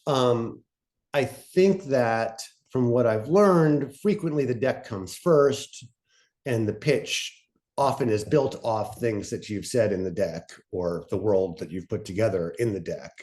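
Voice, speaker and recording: A man moderating a casual panel talk in a self-deprecating way, thinking aloud in halting phrases. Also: mid-range voice, a little mellow.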